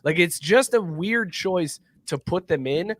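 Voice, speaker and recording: A man with a slightly high voice hosts a casual sports show. He chats informally with his panel, and the sound is very dry. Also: lively intonation, measured pace, fairly bright tone, no room sound.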